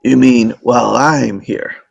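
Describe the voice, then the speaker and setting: A man's voice: medium pitch, steady tone, a little slow, gravelly, breathy edge, no echo, dull audio, faint music behind, heavily acted. An actor in a script table read playing an ailing old father, a stubborn, folksy teller of tall tales who dodges with jokes. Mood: wry, stubborn. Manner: folksy, evasive, joking storyteller.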